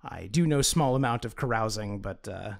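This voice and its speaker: A man playing a wry, obsessively determined gentleman book-hunter in tabletop roleplay, telling his tale theatrically. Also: lowish voice, expressive, moderate pace, vocal fry. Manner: wry, theatrical, repetitive build-up. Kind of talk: in-character tabletop roleplay storytelling.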